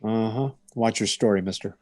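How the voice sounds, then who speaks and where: An actor playing a stoic, no-nonsense police detective in a 1950s-style radio crime parody, deadpan, clipped and matter-of-fact. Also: male voice, lowish voice, moderate pace, no echo, studio quality, lightly acted. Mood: stern. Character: stoic, no-nonsense male police detective narrating his case.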